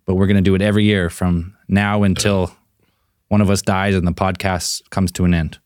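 A man with a lowish voice co-hosts a podcast, upbeat and chatty, laughing as he introduces segments. The sound is dry and close. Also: little pitch movement, a little fast, a little mellow, no echo. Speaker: podcast co-host. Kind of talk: casual chat.

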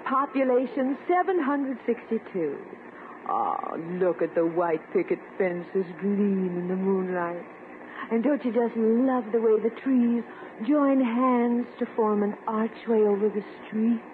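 A woman acts out a gushing, coy American romantic who coaxes toward marriage in a fairly high voice. The performance is 1950s radio comedy, on a thin old broadcast recording with faint noise. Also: unhurried, phone-quality sound, lightly acted. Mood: dreamy, gushing. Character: coaxing, romantic woman angling for a husband.

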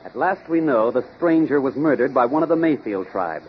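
An actor playing a keen, self-assured American detective, articulate and in command, in old-time radio drama style. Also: male voice, medium pitch, animated, fairly quick, close to an everyday voice. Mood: keen deduction. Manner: articulate, deductive, takes charge. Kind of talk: 1930s-40s American radio acting. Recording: dull, noisy vintage radio recording.

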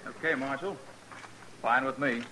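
A man's voice: mid-range voice, unhurried, bright, forceful tone, a little hiss or hum, poor audio. A man in a 1950s radio western playing a breezy, jeering Army officer who eggs on his friend. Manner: mocking, breezy. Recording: old radio drama, crowd behind.

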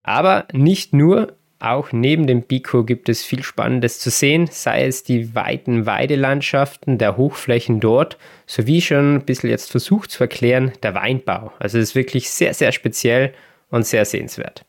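A man hosting a podcast, telling travel stories in a relaxed, chatty way with small hesitations. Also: medium pitch, normal speed, creaky. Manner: relaxed storytelling with occasional laughs and hesitations. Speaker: podcast host. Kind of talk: casual podcast chat.

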